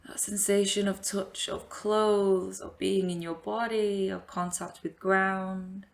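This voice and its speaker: A woman leading a guided meditation as a yoga teacher, giving gentle, invitational instructions with long pauses. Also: medium pitch, little pitch movement, gravelly.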